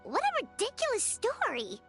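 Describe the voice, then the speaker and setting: A woman voicing a tiny, cheeky, childlike fairy companion with a squeaky voice in an anime video game. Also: wildly swooping pitch, normal speed, fairly bright tone, soft background music, exaggerated voice. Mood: indignant. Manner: speaks of herself in third person, chirpy.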